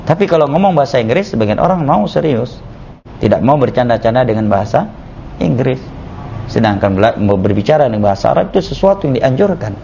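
A man, an Islamic teacher, giving a measured religious study lecture that is punctuated with "ya" and "nah.". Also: mid-range voice, expressive, vocal fry, warm tone, slight background noise. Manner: measured, repeats "ya" and "nah" as he explains. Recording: muffled lo-fi lecture recording.

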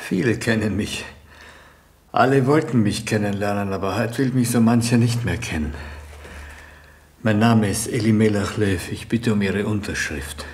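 An actor playing a weary, persistent rabbi with a measured, sardonic manner in a German radio play. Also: male voice, lowish voice, a little mellow, breathy edge. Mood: dry, persistent.

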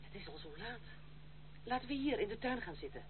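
A woman's voice: a little high, expressive, fairly quick, natural voice. Actress doing a proud stern young woman in an old dutch radio play, formal, reproachful, thin tinny noisy sound. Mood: uneasy. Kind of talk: Dutch radio drama acting.

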